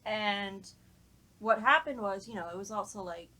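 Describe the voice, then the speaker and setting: A woman's voice: medium pitch, normal speed, bright, forceful tone, low-quality recording. A woman in casual podcast conversation, telling stories informally with some swearing. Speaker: podcast panelist. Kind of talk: casual chat, anecdote telling.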